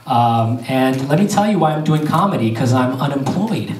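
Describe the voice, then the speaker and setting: A man's voice: medium pitch, expressive, normal speed. A man from Pakistan doing loose, self-mocking stand-up and crowd work on a theater stage. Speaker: stand-up comedian. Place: theater stage before an audience. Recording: echoey theater, distant mic, crowd noise.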